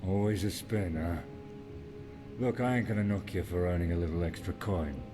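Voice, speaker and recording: An actor playing a roguish, wry axe-wielding mercenary in a fantasy game, talking in cheeky, colloquial banter. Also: male voice, moderate pace, music in the background, natural voice. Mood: wry, teasing. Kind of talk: fantasy video game dialogue. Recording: noisy rumbling background.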